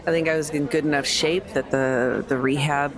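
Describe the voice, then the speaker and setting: A woman's voice: low voice, steady tone, normal speed, a crowd in the background. A woman playing a tabletop game, speaking casual in-character dialogue.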